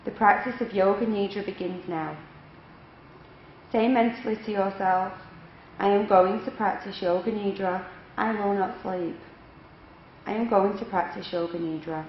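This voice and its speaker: A woman yoga instructor leading a guided relaxation meditation in measured, instructional phrases with unhurried pauses. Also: mid-range voice. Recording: echoey room, dull lo-fi, faint noise.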